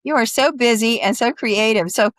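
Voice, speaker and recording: A woman's voice: a little high, normal speed, fairly bright tone, dry recording. A middle-aged woman hosting a podcast, chatting casually with her guest in an effusive, encouraging way. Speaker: podcast host. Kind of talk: casual interview chat.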